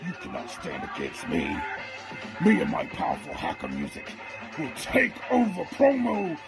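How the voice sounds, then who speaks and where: A man voicing a boastful, menacing hacker villain with a gravelly disguised voice, making theatrical threats in a wrestling promo. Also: a little high, huge pitch swings. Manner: theatrical, drawn-out threats with maniacal laughter. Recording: loud music under the voice. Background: loud music.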